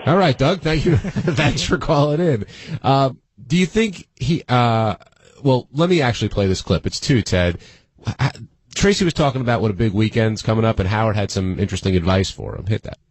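Male radio host, conversational, husky and expressive, dry studio sound. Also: medium pitch, moderate pace, no room sound. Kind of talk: casual talk radio discussion.

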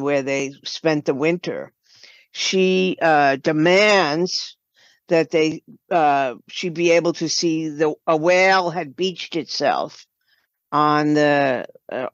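Slow, halting woman interview guest. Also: deep voice, no echo, dull audio. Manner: halting, with broken-off sentences. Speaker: guest, writer and audio drama producer. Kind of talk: podcast interview, explaining her work.

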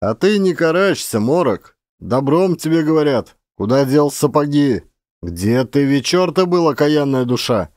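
A man's voice: fairly high pitch, sing-song, a little slow, no echo, clean studio sound. Man playing an angry, officious village elder. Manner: loud, accusing. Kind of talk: one-man audio play.

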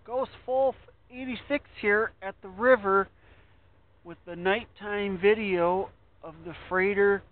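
A friendly man talks casually to his viewers from a riverbank at night, his voice sitting a little high. The sound is thin and tinny, like it came through a cheap camera mic. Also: fairly even intonation, takes it slowly. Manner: friendly, chatty sign-offs. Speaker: ship-watching vlogger. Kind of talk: casual vlog narration. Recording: tinny phone-like camera audio.

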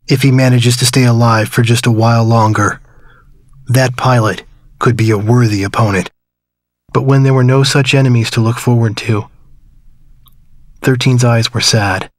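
An actor playing a wistful man recalling his wartime youth in measured, somber, reflective narration for a game. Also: mid-range voice, dry recording, clean studio sound, lightly acted. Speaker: story narrator. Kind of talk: scripted reflective first-person narration.

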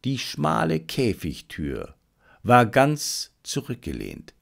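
Male audiobook narrator, mid-pitched, highly animated, dramatic and suspenseful. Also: slow pace, vocal fry. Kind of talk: scripted narration of a detective adventure.

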